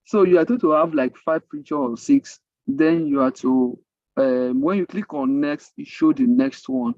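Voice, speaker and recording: A man with a Nigerian accent and Yoruba as his native language, mentoring a class in an informal, halting way, repeating himself and asking rhetorical questions. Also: fairly high pitch, sing-song, creaky, dark tone, no echo, lo-fi sound. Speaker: coding mentor. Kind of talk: informal online teaching.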